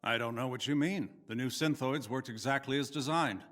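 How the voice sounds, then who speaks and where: An actor playing a bitter, vengeful older scientist in a video game, speaking with cold, formal contempt. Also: male voice, mid-range voice, a bit bright, big-room sound, natural voice. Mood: contemptuous. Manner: contemptuous, formal, deliberate menace. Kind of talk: dramatic video game voice acting.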